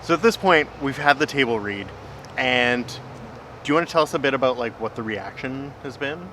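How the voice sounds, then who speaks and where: A man's voice: mid-range voice, animated, a little fast, a bit bright. A man hosting a casual chat interview, asking questions and explaining in an informal way. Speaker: vlog host interviewing his writing partner. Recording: clearly noisy outdoor urban ambience.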